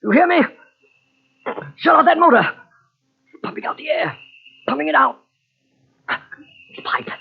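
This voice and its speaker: A trapped victim running out of air in a vintage radio horror play. The voice is high and gravelly, gasping out desperate, broken pleas. The old tape sounds muffled. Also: female voice, animated, a little slow, pauses often, exaggerated voice. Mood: frantic, demanding. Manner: gasping, pleading in broken fragments. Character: trapped victim suffocating in an airless chamber, desperate. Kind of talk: 1940s radio horror acting.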